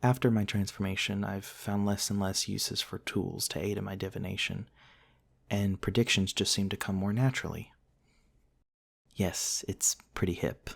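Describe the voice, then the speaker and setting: A man playing a gentle, courtly, centuries-old vampire prince in a soft, intimate ASMR roleplay, tender and hesitant with old-fashioned politeness. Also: on the low side, flat delivery, moderate pace, vocal fry. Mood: modest, lightly amused.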